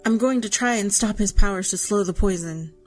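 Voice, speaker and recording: A woman playing a weakened but composed healer in an audio drama, speaking in a measured, instructing way. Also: medium pitch, a little mellow, natural voice. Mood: focused, instructing. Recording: music under the voice.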